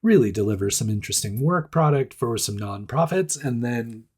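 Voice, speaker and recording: A man hosting a casual startup news rundown, hoarse and stuffed up with a nasal voice, chatty and self-deprecating. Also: mid-range voice, expressive, normal speed.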